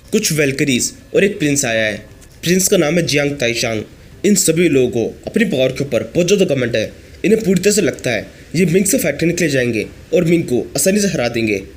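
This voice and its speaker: A hoarse man narrating a comic story recap as the channel's host. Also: a little high. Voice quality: husky.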